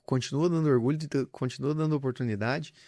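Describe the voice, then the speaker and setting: A man's voice: mid-range voice, quick pace, mellow, dry recording, studio quality. A man, a business founder, talking earnestly and reflectively as an interview guest, often restarting his phrases. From southern Brazil. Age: adult with young children. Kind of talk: casual interview conversation.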